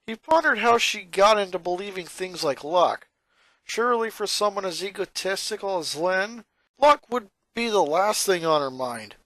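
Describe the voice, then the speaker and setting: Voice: medium pitch, expressive, moderate pace, a bit bright, no echo, poor audio. A narrator reading a fan fiction story aloud as an amateur.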